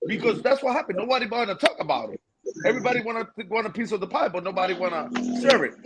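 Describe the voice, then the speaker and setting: A man's voice: high voice, lively intonation, very fast, a crowd in the background, rough recording. A man from Rico, a guest speaking passionately and repeating words for emphasis in casual panel talk. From Puerto Rico. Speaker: guest, boxer.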